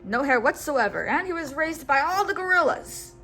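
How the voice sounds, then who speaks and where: A woman reading a storybook aloud to a child in an expressive, instructional way, pausing on words to be repeated. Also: sits high, faint music behind. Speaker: storybook read-aloud host. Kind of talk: children's read-aloud narration with call-and-response prompts.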